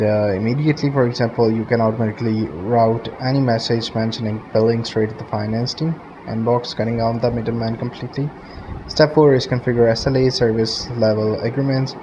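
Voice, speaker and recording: Man narrating a tutorial over muffled, noisy audio. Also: mid-range voice. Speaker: tutorial host. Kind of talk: step-by-step software tutorial narration. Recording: muffled lo-fi audio with clear background noise.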